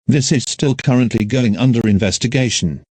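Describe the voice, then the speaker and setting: Guy doing emergency broadcast announcements, formal and measured, really dry close sound. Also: mid-range voice, darkish tone, breathy edge, no room sound. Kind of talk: scripted emergency alert narration.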